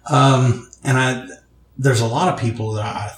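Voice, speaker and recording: Northern Louisiana man, joking guest, mid-pitched voice, roomy echo. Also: steady tone, measured pace. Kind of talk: casual interview chat.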